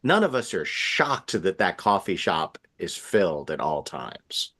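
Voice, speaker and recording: A man in a casual panel conversation, talking in halting bursts and often checking for agreement. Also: low-pitched, sing-song, fairly bright tone. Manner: halting, seeks agreement with frequent "right?".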